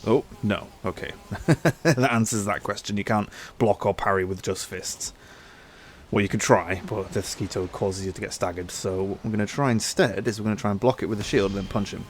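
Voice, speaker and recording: A young man with a slightly low voice and a stuffy, bunged-up nose from a cold. He chats easygoingly over his gameplay, thinking out loud, with a faint hum of ambience behind him. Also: a little fast. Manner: chatty, easygoing, thinks aloud. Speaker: gaming channel host. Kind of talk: casual gameplay commentary.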